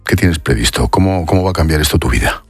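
A man presenting the news in a measured, formal way and interviewing a guest live. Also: rumbling low, animated, slightly rough, no room sound, soft background music.

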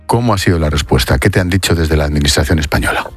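A man presenting the news in a measured, formal way and interviewing a guest live. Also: a bass voice, slightly rough, no room sound, faint music behind.

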